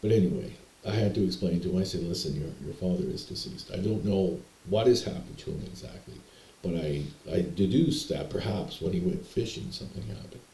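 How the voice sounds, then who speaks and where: A man's voice: sits low, fairly quick, mellow. A young man from Canada telling a rambling personal story to camera, hesitating and restarting often. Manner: meandering, hesitant, repeats words and restarts. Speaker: vlogger telling personal stories. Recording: echoey room.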